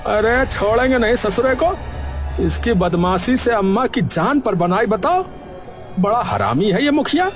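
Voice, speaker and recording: High-pitched hot-headed villager voiced by a man. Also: big pitch swings, unhurried. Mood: angry. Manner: scolding, taunting, coarse. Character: hot-headed, brash male villager spoiling for a fight. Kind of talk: Hindi cartoon village story acting. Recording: faint music behind the voice.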